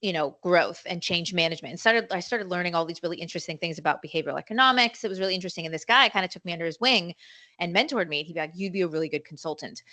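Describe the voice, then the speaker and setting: A woman in her forties, telling her story as a podcast guest in a chatty, animated way. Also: lowish voice, very fast, no room sound. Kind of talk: casual storytelling interview.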